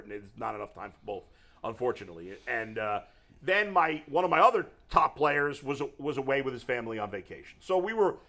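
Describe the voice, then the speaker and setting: A middle-aged man with a deep voice and a New York accent, ranting in casual chat as a sports radio co-host. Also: gravelly. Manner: animated, exasperated ranting.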